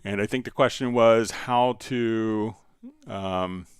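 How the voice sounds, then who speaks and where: A man hosting a live stream, casually explaining software step by step while he demonstrates it. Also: on the low side, slow, clean tone, no echo.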